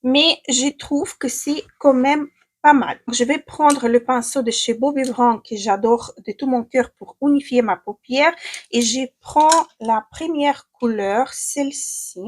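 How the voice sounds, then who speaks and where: Woman, Ukrainian accent, young adult, makeup vlogger, hesitant fillers, higher voice, a bit husky. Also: measured pace. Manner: hesitant, many fillers and restarts while applying makeup. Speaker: beauty vlogger. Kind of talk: casual makeup tutorial.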